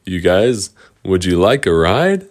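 A man's voice: low voice, sing-song, slow, exaggerated voice. A man voicing a friendly, easygoing talking unicorn in a made-up bedtime story. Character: friendly talking unicorns, mystical creatures with candy names.

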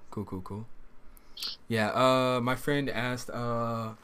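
A man's voice: mid-range voice, fairly even intonation, slow, fairly bright tone. A man in his early twenties with an American accent, chatting casually and hesitantly as he hosts an interview. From Southern California, United States. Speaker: podcast host. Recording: noticeable background noise and ambience.

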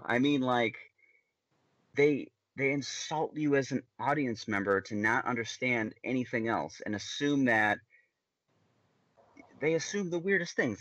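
A man's voice: medium pitch, fairly bright tone, no echo, lo-fi sound. A man co-hosting a podcast who rants in casual chat and often stammers and restarts his words. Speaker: podcast co-host.

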